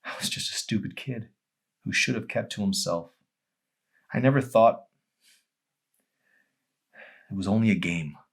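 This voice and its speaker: A man voicing a tormented, withdrawn young man in an audiobook, halting and anguished with guilt. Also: fairly low pitch, creaky, slightly rough, heavily acted. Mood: remorseful.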